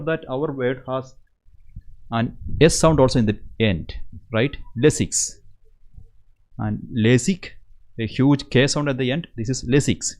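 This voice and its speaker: Young malayali guy on a livestream, english w/ his accent, earnest, keeps repeating words and restarting, normal mid pitch. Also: a little mellow. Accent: Malayali Indian English. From Kerala, India. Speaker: host of an engineering channel. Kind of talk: casual livestream Q&A.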